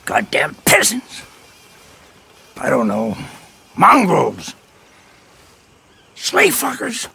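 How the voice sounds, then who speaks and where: An actor playing a bitter, scornful older landowner with a hoarse rasp in a game cutscene. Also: male voice, sits high, huge pitch swings, bright, forceful tone, full character voice. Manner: slow, spitting contempt. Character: bitter old Southern landowner, scornful and feuding. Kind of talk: video game cutscene acting.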